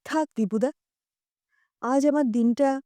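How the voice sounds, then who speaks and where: A woman voicing a gentle, dejected husband who speaks in a subdued, resigned way in an audio story. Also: high voice, slow, dry recording. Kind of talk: dramatised audio story dialogue.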